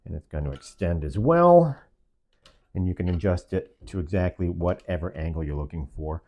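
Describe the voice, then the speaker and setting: A man's voice: low voice, animated, moderate pace, darkish tone. A man giving a semi-scripted product review, explaining features step by step in short phrases.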